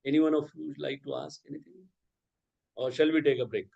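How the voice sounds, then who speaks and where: A man's voice: on the high side, steady tone, fairly quick, gravelly, darkish tone, slightly rough, muffled recording. A man whose first language is Urdu, lecturing teachers over a video call and often checking in with "okay" and "right?". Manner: explanatory, frequent "okay" and "right?" check-ins. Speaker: workshop resource person.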